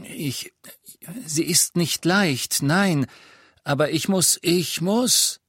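A man's voice: fairly high pitch, very slow, fairly bright tone, breathy edge, no room sound, studio quality, voice pushed up, heavily acted. A man voicing a tense teenage girl. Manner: strained and pleading. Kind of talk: audiobook character voice.

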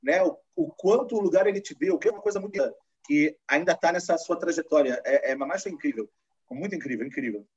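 A man's voice: fairly high pitch, brisk, a little mellow, breathy edge. A man hosting a live-streamed interview, talking in a loose, conversational way and asking long, rambling questions. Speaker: host. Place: lives in Israel. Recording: muffled lo-fi stream audio.

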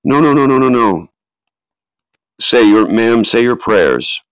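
A man's voice: medium pitch, expressive, takes it slowly, clean tone, no echo, highs cut off, voice pushed up. A man voicing an earnest, flustered emergency phone operator, urgent and repetitive, in a dramatic reading. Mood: urgent, pleading.

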